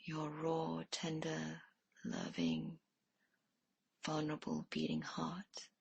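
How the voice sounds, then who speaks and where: A woman leading a guided meditation in a soft, hushed way, leaving pauses between phrases. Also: deep voice, big pitch swings, vocal fry, husky, no room sound.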